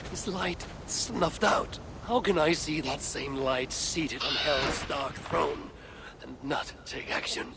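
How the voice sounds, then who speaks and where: An actor playing a proud, wrathful elder god-king in a video game, gravelly and grandly archaic in his speech. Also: on the high side, slow pace, heavy background noise. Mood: brooding. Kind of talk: dramatic video game acting.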